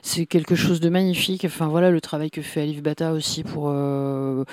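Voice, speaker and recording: Brief: man, comic author guest, slightly high voice, halting and full of fillers, dry close mic. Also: little pitch movement, darkish tone, no room sound. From France. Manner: hesitant, many fillers and restarts. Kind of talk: casual radio interview.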